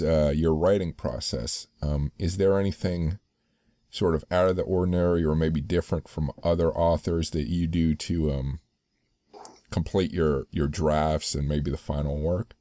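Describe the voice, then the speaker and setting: A man's voice: a bass voice, steady tone, measured pace, mellow, no echo, studio quality. A man hosting an interview, asking long rambling questions and saying hmm often. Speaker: interviewer host. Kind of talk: interview conversation.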